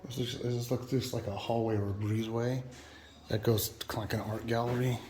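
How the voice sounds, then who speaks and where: A man's voice: mid-range voice, flat delivery, normal speed, a little mellow, slight background noise. A man giving a casual walking tour of a museum, thinking aloud with fillers and restarts, his voice cracking now and then. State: voice keeps cracking. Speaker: travel vlogger. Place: indoor and courtyard museum rooms.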